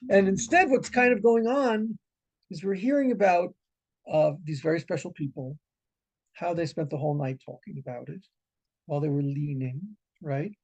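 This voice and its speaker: Rabbi, male, gravelly mellow voice, chatty animated teaching delivery. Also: fairly high pitch, low-quality recording. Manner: conversational, animated, asks "right?" often, laughs. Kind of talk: informal Torah lesson.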